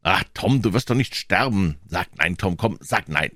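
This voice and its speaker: A man voicing an anxious, excitable young boy who speaks in urgent exclamations in a storybook. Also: low voice, normal speed, no room sound, clean studio sound. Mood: worried, pleading.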